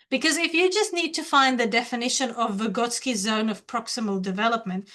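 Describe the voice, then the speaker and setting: A woman's voice: fairly high pitch, fairly bright tone. A woman whose first language is not English, giving a university lecture in an earnest, explanatory way and posing rhetorical questions to her students. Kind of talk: lecture on academic reading.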